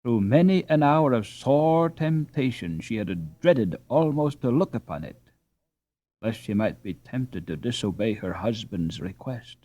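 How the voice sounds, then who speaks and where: A man reading a classic children's novel aloud as a slow, expressive audiobook narrator. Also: mid-range voice. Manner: slow, expressive, lively intonation. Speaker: audiobook reader. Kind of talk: scripted storybook narration.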